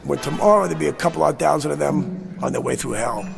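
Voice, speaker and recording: An American actor playing an agitated, cynical man who insists he has seen disaster coming, speaking in a blunt, slangy, pleading way with a gravelly voice. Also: on the high side, fast talker, music in the background. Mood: bitter, weary. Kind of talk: dramatic screen acting.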